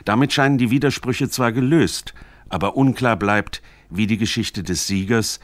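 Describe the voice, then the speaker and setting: A man reading scripted narration for a literary radio documentary in a measured, formal manner. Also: lowish voice, no room sound.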